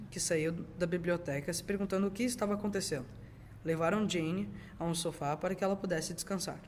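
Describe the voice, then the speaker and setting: A young teenage boy reading scripted narration for an amateur radio drama. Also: sits high. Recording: noisy amateur school recording.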